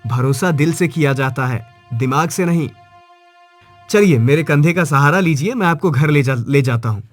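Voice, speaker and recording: A man's voice: fairly high pitch, normal speed, no room sound, soft background music, natural voice. A man voicing a kind, selfless village husband in a cartoon moral story, earnest and emphatic.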